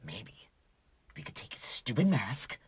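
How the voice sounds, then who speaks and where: A young man playing a troubled, jokey teenage boy in an audio drama, with halting, stammering speech that drops into breathy murmurs. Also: mid-range voice, huge pitch swings, no echo, in a whisper, studio quality, full character voice. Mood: frantic, mischievous. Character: troubled, jokey teenage boy hiding pain behind mischief.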